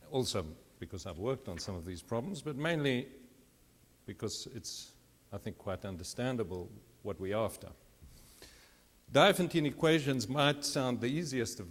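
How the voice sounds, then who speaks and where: A middle-aged man giving a public mathematics lecture, conversational with self-deprecating asides. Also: medium pitch. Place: lecture hall. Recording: reverberant hall.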